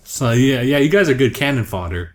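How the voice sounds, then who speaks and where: A man's voice: mid-range voice, lively intonation, fairly quick. A man chatting easily about games, laughing often and filling his talk with "like". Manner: easygoing, laughs often, many fillers. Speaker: co-host. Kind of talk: casual gaming discussion.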